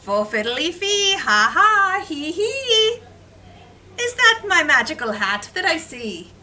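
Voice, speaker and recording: A woman's voice: very high voice, sing-song, takes it slowly, bright, forceful tone, pitched above the performer's own voice, full character voice. A woman voicing a sneaky, mischievous storybook leprechaun who teases in sing-song rhyme, recorded from a distance in a noisy room. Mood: gleeful. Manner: teasing, sing-song rhyming. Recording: noisy classroom, distant mic.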